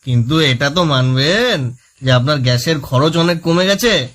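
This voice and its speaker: A man's voice: on the high side, animated, normal speed, a bit bright. A man playing a polite, puzzled electrical shop employee who questions a complaining customer in a comic phone skit. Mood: puzzled, amused.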